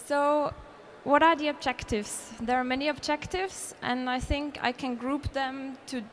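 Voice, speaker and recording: High-voiced Austrian woman presenting. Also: steady tone, measured pace, fairly bright tone, a little hiss or hum. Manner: earnest, with restarts and self-corrections. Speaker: conference presenter. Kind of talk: conference presentation. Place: conference hall.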